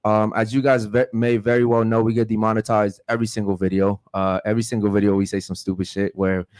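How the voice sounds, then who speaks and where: A man hosting a casual podcast, loose and quick to laugh. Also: fairly low pitch, flat delivery, a little mellow, no echo. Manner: loose, laughs often, says "man" a lot. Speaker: podcast host.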